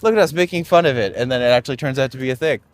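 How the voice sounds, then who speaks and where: A man's voice: mid-range voice, rapid-fire, no room sound. A young man hosting a live-streamed walking tour outdoors on a city street, rambling and chatty with lots of fillers, recorded on a phone.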